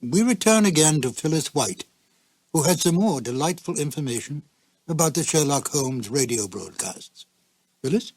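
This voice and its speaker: A man's voice: fairly high pitch, lively intonation, moderate pace, a little mellow, husky, no echo. A man hosting with formal, courteous scripted introductions. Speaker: host.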